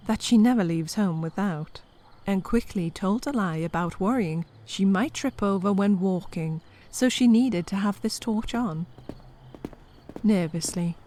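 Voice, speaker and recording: A woman's voice: medium pitch, warm tone. A woman narrating scripted comedy horror, with wry, exasperated asides to the listeners.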